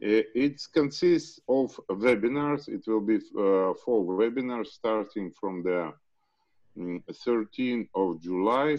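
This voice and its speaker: Halting man hosting a video call. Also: lowish voice, a little mellow. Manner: halting, many fillers and restarts. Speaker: webinar host. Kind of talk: hesitant online meeting hosting. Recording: muffled video call audio.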